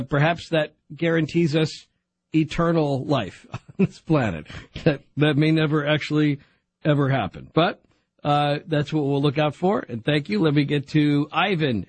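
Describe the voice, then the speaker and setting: A man's voice: a little high, husky, no echo. A man hosting a radio call-in show, guiding callers with frequent stammering restarts. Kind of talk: casual call-in chat.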